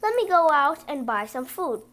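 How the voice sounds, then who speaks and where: A woman's voice: high voice, big pitch swings, normal speed, fairly bright tone. A woman voicing a naive little wooden puppet boy in a storybook, with plain, childlike replies. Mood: eager.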